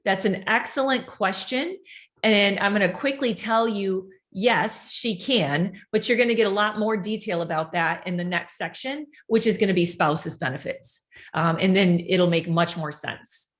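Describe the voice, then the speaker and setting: A woman giving an informational presentation, brisk and upbeat, explaining things step by step and answering audience questions. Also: mid-range voice. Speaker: presenter of a benefits seminar.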